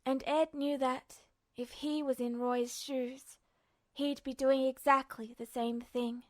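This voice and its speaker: A woman's voice: high voice, flat delivery, slow pace. A woman reading fiction aloud as a dramatic, scripted audiobook narration. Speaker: fan fiction podfic reader.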